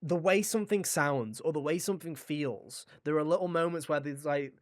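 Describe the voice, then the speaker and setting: Enthusiastic, higher-pitched man chatting about games. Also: moderate pace. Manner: enthusiastic, rambling, sweary. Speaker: gaming podcast host. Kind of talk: casual video game discussion.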